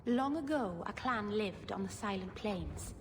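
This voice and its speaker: An actress playing an awkward, earnest, bookish young elf mage in a video game. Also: female voice, mid-range voice, expressive, unhurried. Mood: storytelling. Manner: earnest, self-deprecating, socially awkward. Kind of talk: video game dialogue and storytelling. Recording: reverberant room, clear background noise.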